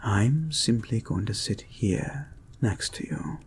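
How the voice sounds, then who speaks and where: A man playing a soothing, possessive and gently controlling therapist in an intimate hypnosis audio roleplay, speaking in a soft, breathy, hushed and deliberate way. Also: lowish voice, lively intonation, slight background noise. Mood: tender, clinical. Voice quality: soft, breathy, husky, often near a whisper. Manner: slow, hushed, deliberate, coaxing.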